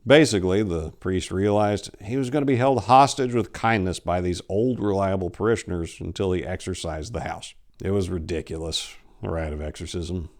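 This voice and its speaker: A man in his forties giving a theatrical, expressive dramatic reading of horror fiction. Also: low voice, normal speed. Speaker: storyteller. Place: small indoor room lined with bookshelves. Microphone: lapel.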